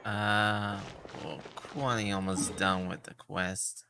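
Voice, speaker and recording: A man livestreaming a game with casual, halting commentary. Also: fairly low pitch, little pitch movement, noisy background. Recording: game music behind streamer.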